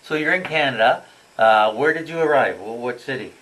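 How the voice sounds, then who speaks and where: A man hosting a casual interview in a halting way, repeating and rephrasing his questions. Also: mid-range voice, animated, fairly bright tone, low-quality recording. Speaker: interviewer and host.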